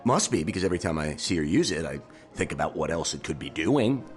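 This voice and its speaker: A man's voice: low-pitched, sing-song, quick pace, soft background music. A man playing a crude, smug lecher in an audio drama, needling and insinuating with veiled menace. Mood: lewd, boastful.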